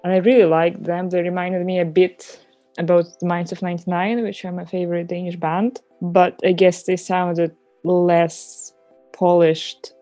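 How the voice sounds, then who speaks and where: A woman's voice: lowish voice, little pitch movement, normal speed, dark tone, no room sound, faint music behind. A woman co-hosting a podcast, chatting casually and personally about albums she likes. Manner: chatty, personal, laughs easily. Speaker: podcast co-host. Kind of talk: casual chat about music.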